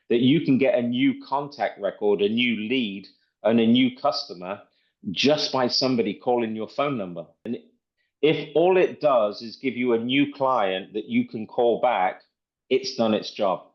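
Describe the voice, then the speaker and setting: Man pitching software, dull lo-fi audio. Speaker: presenter demonstrating software. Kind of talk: casual sales presentation.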